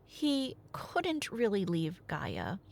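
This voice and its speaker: A woman's voice: mid-range voice, huge pitch swings, drawn-out words, gravelly, a little mellow, heavily acted. A woman playing a nerdy girlfriend who tells myths in a casual, rambling ASMR roleplay style, with wry, hesitant asides. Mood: wry, musing. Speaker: ASMR audio roleplay performer.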